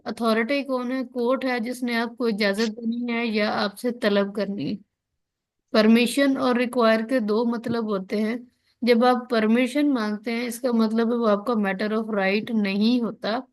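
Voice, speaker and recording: Higher-pitched Pakistani woman teaching. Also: fairly even intonation, a little slow. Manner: checks understanding often, asks and answers own questions. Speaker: law lecturer. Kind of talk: explanatory online lesson, reading out and explaining legal text.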